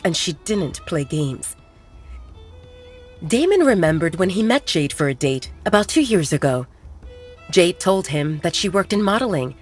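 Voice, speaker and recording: A woman narrating a scripted romance audiobook in a dramatic storytelling style. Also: on the low side. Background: faint background music.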